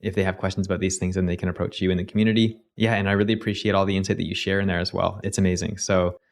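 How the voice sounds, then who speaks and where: A man's voice: low voice, little pitch movement, racing. A man hosting a podcast, upbeat and appreciative as he thanks his guest and closes the show. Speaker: podcast host. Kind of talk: interview host.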